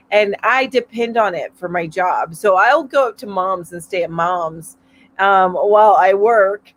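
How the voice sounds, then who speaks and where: A middle-aged woman hosting a casual live-stream chat from home, reading out viewer comments in a chatty, rambling way, a little stuffy from allergies. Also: medium pitch. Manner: chatty and rambling, with frequent fillers and laughs. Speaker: host of a weekly live chat. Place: home, mountain area.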